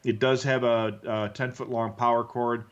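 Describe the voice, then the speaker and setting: A man co-hosting a live stream, explaining things in a conversational, halting way as he answers viewers. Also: medium pitch, little pitch movement, muffled recording. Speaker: co-host answering viewer questions.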